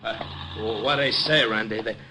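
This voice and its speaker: An actor playing a gentle, childlike, punch-drunk former boxing champ who stammers and repeats himself in slangy 1950s radio drama style. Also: male voice, lowish voice, moderate pace, lightly acted. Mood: pleading, anxious. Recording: vintage 1950s radio recording, noisy.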